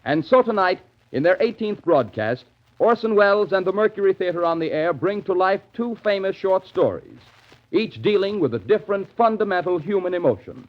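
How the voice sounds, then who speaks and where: Formal old time radio announcer guy, highish voice, dull vintage sound w music. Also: lively intonation. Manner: measured, formal introduction.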